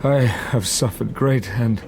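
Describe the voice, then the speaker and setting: An actor playing a dying, tormented man who gravely narrates his past in a formal audio drama. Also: mid-range voice, slow, background noise. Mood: anguished, grave.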